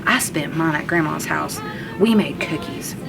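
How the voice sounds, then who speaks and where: A woman voicing young schoolchildren from a storybook in a loud, emphatic, childlike way. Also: low-pitched, unhurried, creaky, over music, voice pushed down, rough recording. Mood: friendly, loud. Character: young schoolchildren, boys and girls, loud and outspoken.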